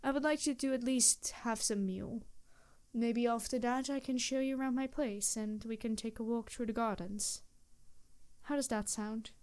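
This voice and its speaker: A woman acts out a centuries-old vampire lady in an intimate audio drama. Her voice sits a little high. She chooses formal, old-fashioned words, hesitant and apologetic toward the one she loves. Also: a little mellow, natural voice. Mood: gently inviting. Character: embarrassed, courteous centuries-old female vampire in love. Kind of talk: intimate scripted roleplay addressed to the listener.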